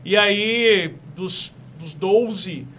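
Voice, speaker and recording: A man's voice: sits high, very slow, a bit bright, slight background noise. A young Brazilian man from Espírito Santo with an Espírito Santo accent, a guest on a talk show who speaks eagerly and often repeats or restarts his phrases. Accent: Brazilian Portuguese from Espírito Santo. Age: young adult. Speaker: guest entrepreneur.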